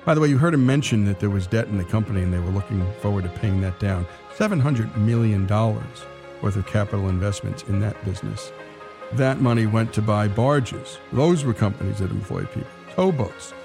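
A man hosting a storytelling radio show, speaking in emphatic, deliberate phrases. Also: lowish voice, vocal fry, music in the background.

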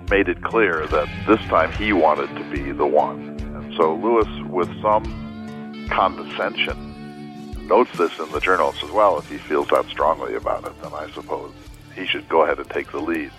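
A man speaking as a history expert, telling a story in deliberate phrases broken by pauses. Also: extremely low pitch. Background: music.

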